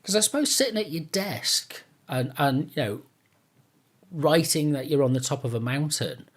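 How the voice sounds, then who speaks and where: A man's voice: on the high side, lively intonation, moderate pace. A man hosting a casual podcast chat, speaking in a rambling, tentative way and often repeating his phrases. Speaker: podcast host.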